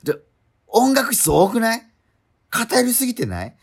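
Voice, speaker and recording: A man's voice: extremely high pitch, wildly swooping pitch, gravelly, husky, full character voice. An actor playing an exasperated schoolboy straight man in a comedic radio drama, quibbling and retorting in disbelief. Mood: incredulous. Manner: incredulous, quibbling, rapid retorts.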